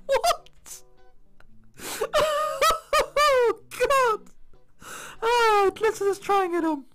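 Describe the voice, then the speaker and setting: A man doing a let's play of a visual novel, reading aloud and reacting in a giggly, excitable way. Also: very high voice, drawn-out words. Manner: giggly, excitable, often breaking into laughter while reading. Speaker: video game commentator. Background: game background music.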